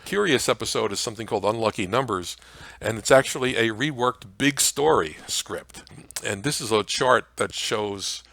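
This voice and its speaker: Low-voiced man giving a dry-sounding talk. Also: moderate pace, creaky, a bit bright, no room sound. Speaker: presenter. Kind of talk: informal slide lecture.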